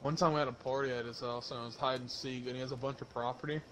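A young man casually telling stories to friends while gaming, slangy and animated as he acts out the dialogue. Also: medium pitch, very fast, heavy background noise. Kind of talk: casual storytelling among friends during online game.

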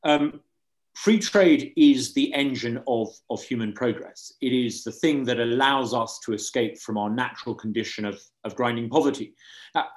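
A man's voice: medium pitch, normal speed. Earnest, emphatic middle-aged man. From Uganda. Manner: earnest, emphatic, repeats words for stress. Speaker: panelist. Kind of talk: persuasive panel remarks. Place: indoor room, video call. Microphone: video call microphone.

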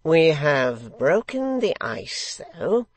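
Casting: male narrator as smooth storybook schemer, falsely gentle, pious-sounding, drawn-out and insinuating. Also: on the high side, very animated, no room sound, voice pushed up, full character voice. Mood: casually sly. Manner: pastor-like, mild, insinuating, drawn-out.

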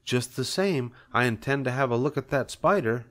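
A man voicing a sharp-tongued middle-aged farm wife in a storybook reading, in a higher, tart voice. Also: expressive, normal speed, natural voice.

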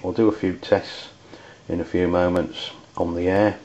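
An English man demonstrating hobby gear in a halting, hesitant way. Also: deep voice, little pitch movement, a little mellow, lo-fi sound. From United Kingdom. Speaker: CB radio enthusiast reviewing gear.

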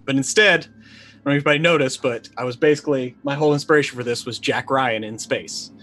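A man's voice: mid-range voice, quick pace, sharp tone. A man in his forties chatting casually as a guest player on a video call, in hesitant, stop-start phrases. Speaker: tabletop game player, guest. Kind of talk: casual chat. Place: indoor room, on a video call. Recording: clear background room noise.

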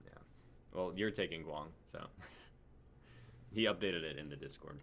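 A man's voice: very deep voice, measured pace, gravelly, fairly bright tone. A man in his twenties hosting casually on a video call with noisy audio. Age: 20s. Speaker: host of a script-reading stream.

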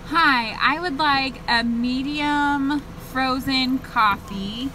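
A young woman talks casually, as if vlogging to friends. Her voice is bright and her pace is easygoing and slow. She is recorded inside a car with faint noise around her. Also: high-pitched. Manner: informal, chatty. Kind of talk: casual vlog chat to camera.

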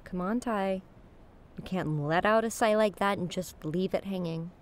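Woman acting a young woman venting to a friend, casual, faint rumbly noise behind. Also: moderate pace, close to an everyday voice. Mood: lighter. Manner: frustrated, casual. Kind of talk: naturalistic audio drama acting.

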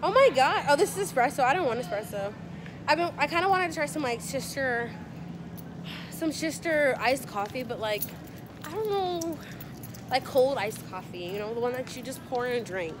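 A woman's voice: high-pitched, animated, moderate pace, noisy background. Chatty young woman vlogging on her phone. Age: young adult. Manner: chatty, rambling with many "like"s. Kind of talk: casual vlog chat.

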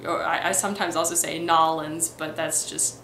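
A woman's voice: sits low, flat delivery, moderate pace, sharp tone. Young woman, light Midwestern accent, rambling vlog chat, webcam in a roomy bedroom, faint ambient hiss. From Texas and Missouri, US. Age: young adult. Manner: chatty, many ums and restarts. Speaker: vlogger. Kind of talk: casual accent tag vlog. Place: bedroom, indoors.

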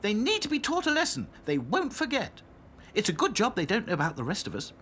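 A woman voicing a clever, eager girl doll who talks briskly. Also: medium pitch, huge pitch swings, a bit bright, a little hiss or hum.

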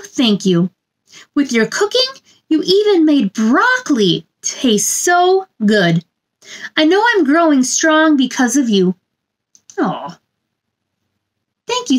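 A woman in her thirties doing a children's read-aloud, with a gentle sing-song delivery and chatty asides addressed to young listeners. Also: high-pitched, sing-song, slow pace, pauses often. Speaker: children's storytime host reading a picture book aloud. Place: small indoor room.

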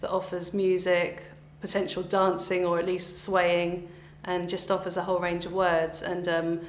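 A woman in her thirties with an English accent talks in an explanatory interview style. Her delivery is quite flat, and the room is echoey with a faint hum. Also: lowish voice, moderate pace. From England. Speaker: event organiser. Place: bare indoor room, on camera.

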